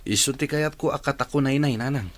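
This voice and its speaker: A man's voice: mid-range voice, fairly quick, lightly acted. A man playing a young adult son reluctantly answering his mother in a radio drama. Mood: reluctant, subdued. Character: reluctant grown son defending his love life.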